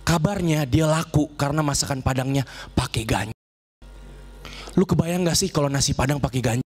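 High-voiced young man doing stand-up. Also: a little fast, husky. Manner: animated, acts out voices and dialogue. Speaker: stand-up comedian. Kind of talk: stand-up comedy set. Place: large TV studio stage. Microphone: handheld mic.

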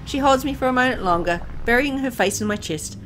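A woman's voice: fairly high pitch, animated, a little fast, a bit bright, a little hiss or hum, poor audio. A woman playing a mild young woman who narrates her own story in a visual novel, confiding and a bit prim. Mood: tender, reflective. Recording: music under the voice.

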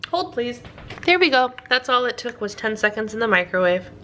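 A woman's voice: on the high side, sing-song, normal speed, fairly bright tone, slight background noise. Chatty woman cooking in her kitchen. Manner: chatty, upbeat, laughs at her own slip-ups. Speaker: home cooking vlogger. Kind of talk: casual cook-along narration. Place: home kitchen. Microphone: camera over the counter, hands in frame.

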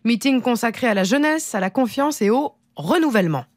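A woman's voice: on the high side, animated, fairly quick, darkish tone, no echo, clean studio sound. A woman in her thirties reading a scripted radio news bulletin. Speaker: radio newsreader. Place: small radio studio. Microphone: close studio microphone with headset monitoring.

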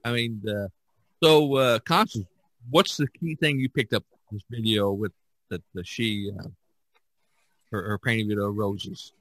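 Guy chatting on a podcast, bright punchy voice, stumbles and repeats himself, pretty dry recording. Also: lowish voice, no room sound. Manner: halting, with repeated words and frequent "you know". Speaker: podcast host. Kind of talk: casual discussion of art practice.

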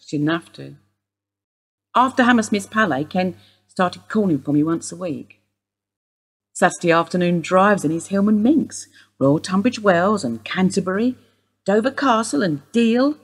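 Actress as husky, sardonic older woman. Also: medium pitch, animated, normal speed. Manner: sardonic, wry. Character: older woman, Mrs Steele, sharp-tongued and bitter. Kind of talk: radio play acting.

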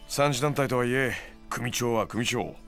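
An actor playing a laid-back, streetwise moneylender in a Japanese video game, talking in a casual, nonchalant way. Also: male voice, medium pitch, measured pace, a bit bright, soft background music. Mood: casual, persuasive. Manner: casual, nonchalant, rough-edged.

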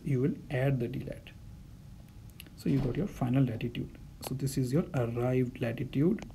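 Male tutor, mellow and gravelly, patient step-by-step delivery. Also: medium pitch, slight background noise, low-quality recording. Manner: patient, step-by-step, repeats key points. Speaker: navigation instructor. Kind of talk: whiteboard-style tutorial explanation.

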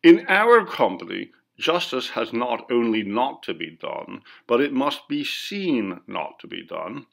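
Man for ghost story narration: formal, measured reader, animated intonation, some vocal fry. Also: mid-range voice. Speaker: audiobook reader.

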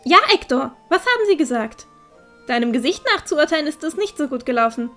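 High-voiced teenage village girl, acted by a woman. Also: lively intonation, fairly quick, fairly bright tone, soft background music. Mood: concerned, eager. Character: teenage village girl, lively, concerned friend.

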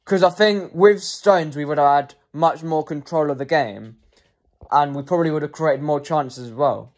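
A man's voice: fairly high pitch, moderate pace, darkish tone. A young man giving casual post-match player ratings as a football fan, hesitant with frequent ums and self-corrections.

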